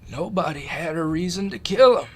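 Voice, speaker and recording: A man playing a dutiful, regretful butler in a radio drama, measured and formal. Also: on the high side, breathy edge. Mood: earnest, defensive. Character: apologetic, dutiful butler, ashamed of his oversight.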